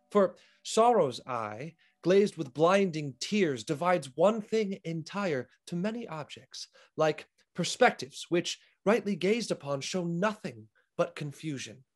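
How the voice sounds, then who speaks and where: An actor reading a courtier comforting the queen, over a video call. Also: on the high side. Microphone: video call webcam.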